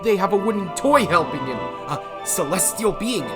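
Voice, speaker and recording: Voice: mid-range voice, sing-song, moderate pace, music in the background, close to an everyday voice. A performer playing a nervous amphibian minion in an audio drama, stammering and pleading. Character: cowering, nervous amphibian servant spy.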